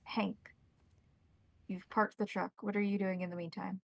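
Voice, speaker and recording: Woman game master with a creaky voice. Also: medium pitch, flat delivery, moderate pace, dry recording. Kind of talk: tabletop roleplay narration and rules calls.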